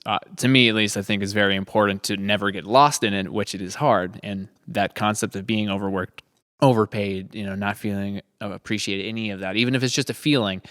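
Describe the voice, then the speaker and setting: Low, smooth-voiced man hosting, dry sound. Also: a bit bright, no room sound. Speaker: host of a production log podcast. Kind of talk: casual conversational hosting.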